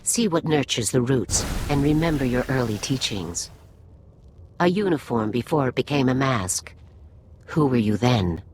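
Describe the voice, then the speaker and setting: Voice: mid-range voice, lively intonation, a little slow, darkish tone, a little hiss or hum, natural voice. An actor playing a serene, solemn alien mystic healer in a video game, speaking in ceremonial, cryptic phrases. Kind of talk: video game dialogue acting.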